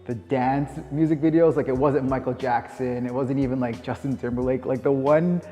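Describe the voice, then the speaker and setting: A man in his thirties with a Canadian accent, speaking informally and reflectively as if answering interview questions, pausing and rephrasing as he goes. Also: mid-range voice, steady tone, mellow. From Winnipeg, Canada. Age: 30s. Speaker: dance teacher. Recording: long reverb, music underneath. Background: music.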